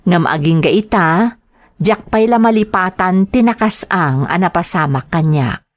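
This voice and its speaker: A woman's voice: lowish voice, animated, unhurried, no room sound. A woman narrating a scripted first-person drama story in an earnest, reflective manner.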